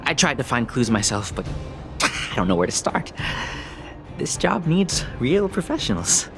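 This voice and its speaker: A man's voice: medium pitch, sing-song, a little slow. A man playing a worried, earnest townsperson in a video game, hesitant and thinking aloud. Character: worried, hesitant townsperson who lost robots. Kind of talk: scripted game voice acting.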